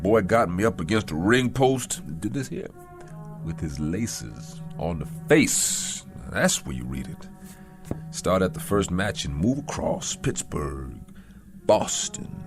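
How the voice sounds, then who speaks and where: A weary, aging prizefighter speaks in a deep voice with plenty of rise and fall. He is battered and gentle, plain-spoken and full of self-doubt, in an old television drama with music behind him. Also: gravelly, heavily acted. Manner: folksy, plain-spoken, reflective. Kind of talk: vintage television drama acting. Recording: archival TV soundtrack with music.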